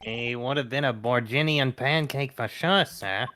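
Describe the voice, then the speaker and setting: A man's voice: medium pitch, measured pace, bright, forceful tone, slight background noise, pitched below the performer's own voice. A man voicing a plain, helpful, deferential subordinate in a comedic game dub. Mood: eager, dry.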